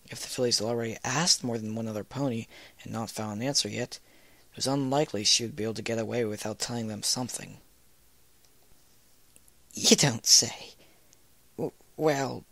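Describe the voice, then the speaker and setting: A man's voice: on the low side, creaky, a bit bright, no echo. A man reading fan fiction aloud as a scripted narration. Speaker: story reader, series host.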